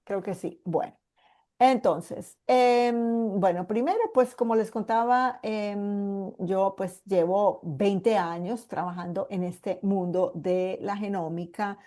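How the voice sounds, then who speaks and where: A woman in her fifties from Colombia with a Paisa accent, a geneticist giving an online presentation and explaining patiently while pausing to find her words. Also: medium pitch, fairly even intonation, mellow. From Colombia (Medellín). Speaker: geneticist and guest speaker. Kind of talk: online presentation to a support group.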